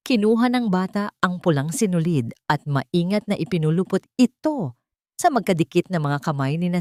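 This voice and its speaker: A woman with a Filipino accent reading a scripted love story in a measured, sentimental, dramatic narration style. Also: on the low side, a little mellow, no echo, studio quality. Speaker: narrator of Tagalog love stories.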